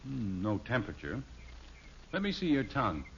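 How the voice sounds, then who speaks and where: A man's voice: mid-range voice, huge pitch swings, normal speed, creaky. Blustery, exasperated American sitcom father. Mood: wry. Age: middle-aged. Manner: blustery, dryly sarcastic. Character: exasperated middle-aged father, short-tempered yet wry. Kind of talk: 1950s radio sitcom acting. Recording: dull, noisy old radio recording.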